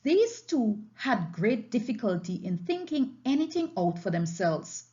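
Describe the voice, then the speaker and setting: A woman narrating a storybook aloud as an audiobook reader, with an expressive read-aloud delivery. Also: a little high, a little slow, lo-fi sound.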